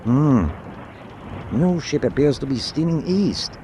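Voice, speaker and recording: An actor playing a wary German tanker captain in a wartime radio drama, authoritative and given to clipped orders. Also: male voice, medium pitch, animated, takes it slowly, dark tone, a little hiss or hum. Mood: tense, brooding.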